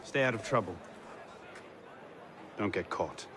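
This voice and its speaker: An actor playing a cool, wry young assassin in a historical video game, speaking in a dry, understated way. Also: male voice, fairly low pitch, slow, noisy background. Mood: focused. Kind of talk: video game cutscene acting.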